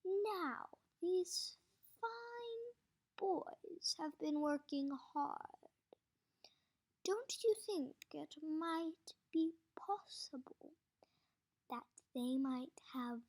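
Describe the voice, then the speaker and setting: A woman voicing a cold, authoritative female camp warden in a storybook, soft and deliberate, with icy politeness. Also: extremely high pitch, pitched above the performer's own voice, exaggerated voice. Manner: slow, deliberate, icily polite.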